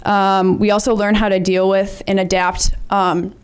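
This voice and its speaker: A woman's voice: medium pitch, flat delivery, unhurried, smooth. Chatty teenage girl full of ums. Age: teens. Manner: chatty, many ums and likes; says she has a loud voice. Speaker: student PE leader. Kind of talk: presentation to a school board.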